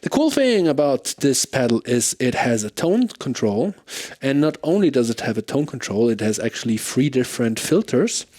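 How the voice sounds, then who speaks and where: A man's voice: big pitch swings, normal speed, dry recording. A man giving a casual, off-the-cuff gear demo, thinking aloud in an informal way. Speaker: bass gear demonstrator. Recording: loud bass guitar playing alongside. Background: electric bass through effects pedals.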